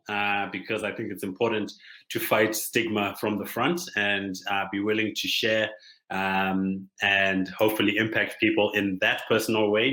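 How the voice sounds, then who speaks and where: A man from Zimbabwe gives a conference presentation, his speech halting and full of fillers and pauses. His voice sits low but has a bright, forceful edge. The room around him rings with echo. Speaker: startup founder giving a talk.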